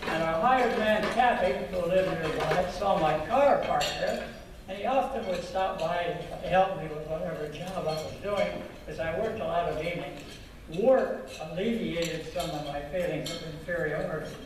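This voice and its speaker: An older man earnestly recounting his life story in a slow, reflective manner, recorded from a distance. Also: on the high side, noisy background. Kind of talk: personal storytelling talk. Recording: echoey and distant with loud background clatter.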